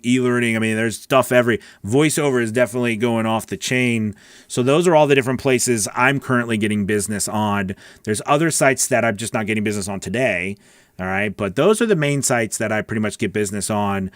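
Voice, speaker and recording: A middle-aged American man with a mid-pitched voice talks casually as a livestream host. He is chatty and informal, thinking aloud while he reads and answers questions. The sound is close and dry, from a studio microphone in a small home studio. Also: smooth, dry recording. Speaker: host, voice-over coach. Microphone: large studio microphone on a boom arm, close.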